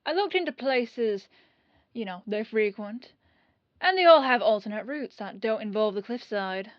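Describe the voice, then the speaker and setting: A woman playing a dogged police detective in an audio roleplay, questioning in a folksy, falsely casual way with pauses. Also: a little high, expressive, dry recording, highs cut off, lightly acted. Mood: casual suspicion. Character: dogged female police detective, casually probing, quietly suspicious.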